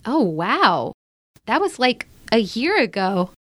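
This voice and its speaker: An actress playing an outspoken, wisecracking young American elder sister in a naturalistic modern audio drama, with blunt, casual banter. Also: female voice, on the high side, very animated, takes it slowly, fairly bright tone, no room sound, clean studio sound, exaggerated voice. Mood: breezy, teasing. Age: young adult.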